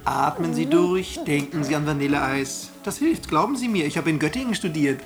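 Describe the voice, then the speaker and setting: Male first-aider played by an actor, patronising and calm, self-important, over music. Radio comedy drama. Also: fairly high pitch, normal speed, lightly acted. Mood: soothing, pompous. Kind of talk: radio play dialogue.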